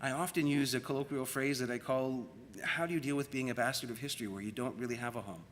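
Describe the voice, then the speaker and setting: Bright-voiced Canadian man lecturing in an echoey hall. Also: medium pitch, a little hiss or hum. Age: middle-aged. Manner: reflective, rhetorical questions and deliberate repetition. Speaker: university lecturer in media studies. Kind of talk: public academic lecture. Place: large room at a university colloquium. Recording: echoey hall with long reverb.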